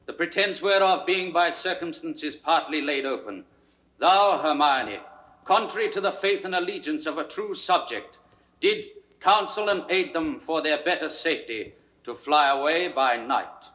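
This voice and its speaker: A solemn officer of a royal court in a classical stage drama. His British-accented voice is fairly high and bright, proclaiming with stiff ceremony. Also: animated, normal speed. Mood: solemn, official. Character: formal court officer proclaiming a charge of treason. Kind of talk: classical Shakespearean stage acting.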